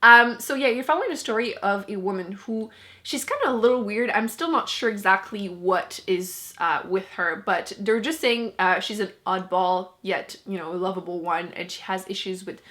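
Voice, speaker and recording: A woman's voice: medium pitch, moderate pace, sharp tone. A young woman whose first language is French, chatting casually to camera as a book vlogger, laughing often and poking fun at herself.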